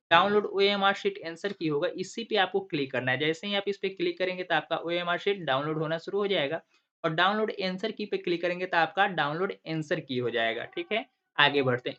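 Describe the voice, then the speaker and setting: A man's voice: sits high, pushed and bright. A man teaching an online class as the channel host, walking through a screen tutorial and asking and answering his own questions as he goes. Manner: asks himself questions and repeats the answers like a teacher. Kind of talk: screen-recorded tutorial explaining step by step. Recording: dull lo-fi recording.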